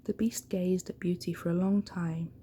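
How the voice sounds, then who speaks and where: A woman reading a bedtime story in a gentle, unhurried ASMR style, soft-spoken and breathy, often close to a whisper. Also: on the low side, steady tone, slight background noise.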